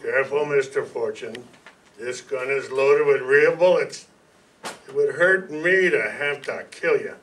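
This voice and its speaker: Bright-voiced lovesick ex-con, played by an older man. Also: mid-range voice, unhurried, natural voice. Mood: lovesick, menacing. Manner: apologetic, folksy. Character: polite, lovesick, simple-minded male ex-convict with gun. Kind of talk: 1950s noir radio acting. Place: staged reading in a community hall. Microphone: stand microphone, heard from a far camera. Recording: distant room mic, some noise.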